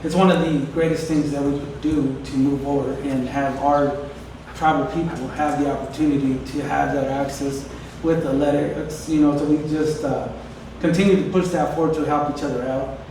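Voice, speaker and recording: Man, council representative, halting delivery at a press conference, distant mic, noisy room. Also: a little high, steady tone, a little mellow. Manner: halting, with many hesitations. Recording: echoey room, distant mic, background noise.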